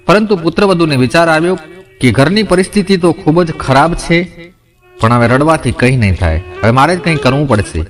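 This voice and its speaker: Man telling a folk tale. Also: fairly high pitch. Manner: addresses listeners directly, voices quoted characters in his own voice. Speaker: storyteller. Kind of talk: narrating a moral folk tale.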